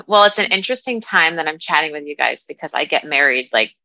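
A woman's voice: low voice, expressive, fast, pushed and bright, highs cut off. A woman in her thirties speaking as a coach in a casual interview, with an informal, wry, self-deprecating manner. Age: 30s. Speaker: guest, dating and relationship coach.

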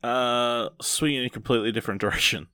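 A man's voice: medium pitch, fairly even intonation, quick pace, sharp tone, smooth, no room sound, clean studio sound. A man hosting a podcast, casually introducing each segment and handing over to his co-host.

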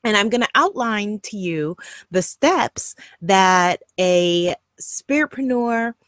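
A woman's voice: mid-range voice, takes it slowly, no room sound. A woman with a Guyanese accent hosting an online course lesson, upbeat and emphatic as she speaks straight to her viewers. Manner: upbeat, animated, emphatic and encouraging. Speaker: online course host and coach. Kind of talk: direct-to-camera e-course lesson.

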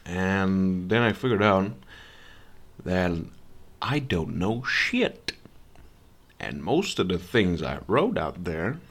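A man's voice: low-pitched, sing-song, takes it slowly, pauses often, a bit bright. A young man from Serbia, hosting a podcast in a sarcastic, profane, blunt rant. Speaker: podcast host. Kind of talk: ranting monologue.

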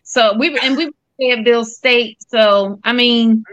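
A woman's voice: fairly high pitch, fairly even intonation, measured pace, a bit bright, no echo. A woman from North Carolina chatting casually. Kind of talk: casual chat.

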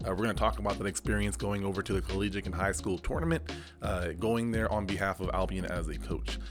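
Lost-voice young dude rambling on his podcast, low voice, music going behind him. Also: fairly even intonation, fast. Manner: rambling, self-correcting, laughs often. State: hoarse, lost voice. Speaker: podcast host and esports coach. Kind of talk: casual solo podcast monologue.

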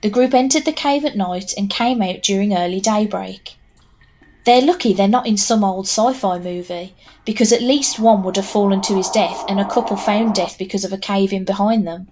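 A woman's voice: mid-range voice, normal speed, a little mellow. A woman narrating a scripted story in a wry, conversational way with knowing asides.

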